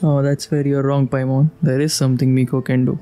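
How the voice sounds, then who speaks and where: A woman's voice: extremely low pitch, little pitch movement, brisk. A woman doing casual playthrough commentary on a video game, reacting aloud in a teasing, playful way. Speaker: game streamer. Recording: faint game music behind the voice.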